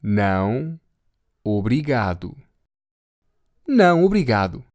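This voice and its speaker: A speaker with a European Portuguese accent, slowly repeating phrases word by word for learners. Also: mid-range voice, sing-song, a little mellow, no room sound, clean studio sound. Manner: slow, carefully articulated word-by-word repetition. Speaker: language teacher. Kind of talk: slow repetition drill for learners.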